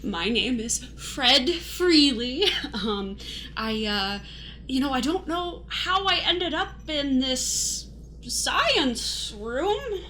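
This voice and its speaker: Woman doing a nervous aimless drifter, high bright voice, stammers and apologizes, radio play comedy, bit of noise. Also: expressive, drawn-out words, exaggerated voice. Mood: nervous, apologetic. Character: timid, aimless thirty-five-year-old wanderer named Fred. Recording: light background noise.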